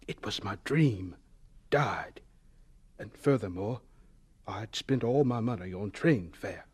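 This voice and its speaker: Earnest, deferential man in an audio drama, medium-pitched, gentle and sincere. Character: humble, earnest scientist recalling his hopeful, polite younger self.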